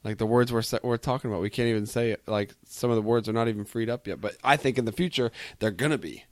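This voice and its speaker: A man chatting casually on a podcast, laid-back and a little halting as he explains his view. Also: mid-range voice, dry recording, studio quality. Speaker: podcast speaker. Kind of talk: casual chat, short agreeing interjections.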